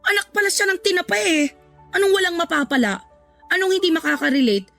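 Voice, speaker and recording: An actress playing a sassy, indignant and supportive woman friend in a radio drama, talking colloquially with expressive exclamations. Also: very high voice, fast, gravelly, faint music behind, full character voice. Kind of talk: Tagalog radio drama acting.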